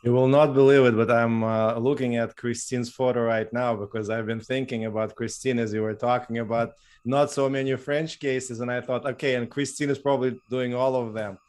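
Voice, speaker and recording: Man, podcast host, friendly, hesitant, middle pitch. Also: steady tone, brisk, darkish tone. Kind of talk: interview hosting.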